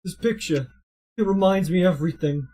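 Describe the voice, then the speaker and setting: A streamer voicing a simple, earnest male death row convict in halting, broken English for a video game read-aloud. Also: medium pitch, mellow, slight background noise, close to an everyday voice. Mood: wistful.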